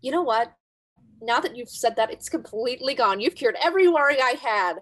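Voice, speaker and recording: Casting: woman as nervy, sarcastic American high school girl for a staged play, high voice, theatrical rambler. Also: lively intonation, fairly quick, fairly bright tone. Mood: sarcastic, catastrophizing. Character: anxious, dramatic teenage girl, aspiring student and ballet dancer. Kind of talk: virtual staged play reading. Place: bedroom, indoors. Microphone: computer video call.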